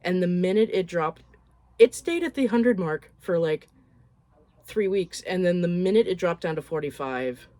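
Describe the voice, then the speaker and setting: A woman with a voice roughened by a cold, chatting frankly and loosely as she runs through a list of films. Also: fairly low pitch, lively intonation, moderate pace, warm tone, dry recording. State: hoarse from a cold. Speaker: horror film vlogger. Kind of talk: casual movie recap.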